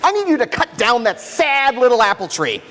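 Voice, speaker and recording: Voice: medium pitch, very animated, moderate pace, a bit bright. A performer playing a vain, bossy king in a community readers' theater, speaking imperiously in a reverberant hall. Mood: haughty, bossy. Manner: imperious, self-satisfied.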